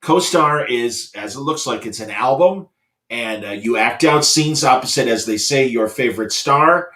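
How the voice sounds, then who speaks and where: A man's voice: mid-range voice, expressive, normal speed, sharp tone. Middle-aged man hosting casual, rambling chat. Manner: rambling, hesitant phrasing. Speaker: talk show host.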